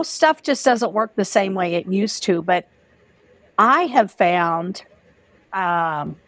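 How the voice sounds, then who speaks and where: A woman's voice: mid-range voice, no room sound. Reflective woman in her sixties hosting. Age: 60s. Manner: reflective, musing, with many you-knows. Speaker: host. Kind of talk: casual interview chat. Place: home room. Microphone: video call webcam.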